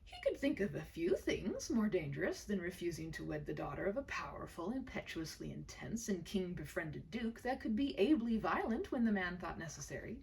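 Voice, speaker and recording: Female narrator for a historical tale: lowish, creaky, dramatic and hushed at phrase ends, recorded a little away from the mic. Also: big pitch swings, moderate pace, few pauses, recorded from a distance, slight background noise, low-quality recording. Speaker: storyteller reading an original short story aloud. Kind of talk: expressive scripted narration of a historical romance tale.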